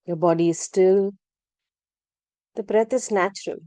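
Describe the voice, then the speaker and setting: A woman leading a guided relaxation practice, speaking calmly and gently, with unhurried instructions and long pauses. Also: mid-range voice, no echo. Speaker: yoga nidra guide. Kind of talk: guided meditation narration.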